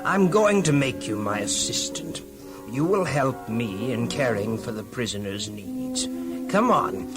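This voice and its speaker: Hearty, animated prison keeper. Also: male voice, mid-range voice, unhurried, music in the background. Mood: earnest, friendly. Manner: hearty, rambling, hesitant asides. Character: kindly, talkative prison keeper fond of his prisoner. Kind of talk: children's audio drama acting.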